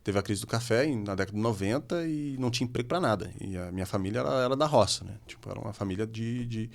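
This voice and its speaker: Policeman guest from Minas with a Curitiba accent, low-ish voice, relaxed storytelling. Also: rapid-fire, gravelly. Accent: Paraná (Curitiba). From Minas Gerais, Brazil. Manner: storytelling, conversational, self-deprecating humor. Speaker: civil police officer, guest. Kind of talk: casual podcast chat.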